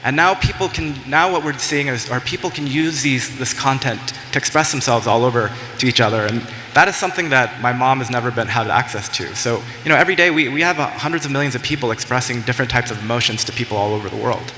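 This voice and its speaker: Fast, bright man panelist in echoey hall. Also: mid-range voice. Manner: quick, with restarts. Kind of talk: conference panel talk. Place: large conference hall. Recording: echoey hall, faint noise.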